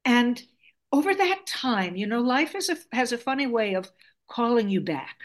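A woman's voice: a little high, big pitch swings, normal speed. Woman in her 70s, Greek first language, articulate and reflective interview guest. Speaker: guest author. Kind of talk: interview storytelling.

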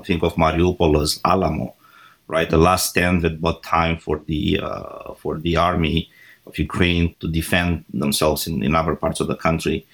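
Deep-voiced man from Romania. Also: a bit bright, husky. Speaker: guest. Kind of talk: interview answers.

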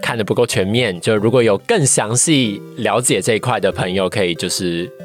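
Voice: medium pitch, fairly quick. Thoughtful young Taiwanese speaker over music. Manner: thoughtful, careful, qualifying. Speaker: guest, voice-acting trainee. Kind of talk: casual podcast interview answers.

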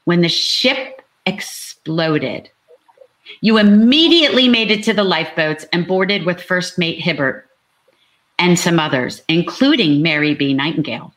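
A woman's voice: on the low side, animated, a little slow, fairly bright tone. A woman co-hosting a live book talk, switching between chatting and reading aloud. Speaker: author and co-host reading out character fates.

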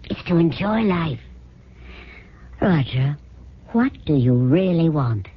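An actress in a radio drama playing an easygoing secretary and mistress, dryly teasing and skeptical. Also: female voice, deep voice, animated, slow pace, a little hiss or hum. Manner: dry, teasing, familiar. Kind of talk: 1970s radio drama acting. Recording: muffled old radio broadcast.

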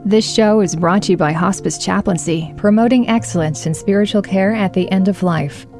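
A woman reads a podcast's scripted opening announcement in an even, promotional tone. Her voice has a strong gravelly fry. Faint music plays under her. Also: medium pitch, normal speed. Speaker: show announcer. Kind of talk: scripted show intro.

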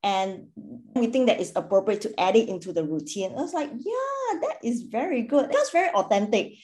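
A woman's voice: a little high, brisk. A middle-aged woman from Singapore, a school board chairperson answering interview questions earnestly. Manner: earnest, animated, acts out quoted remarks.

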